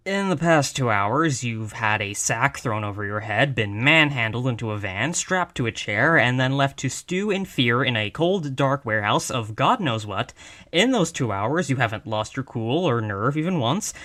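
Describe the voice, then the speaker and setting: A man's voice: mid-range voice, animated, moderate pace, sharp tone. A man playing an exasperated, wry mafia boss in a conversational roleplay audio drama. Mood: apologetic, flirtatious.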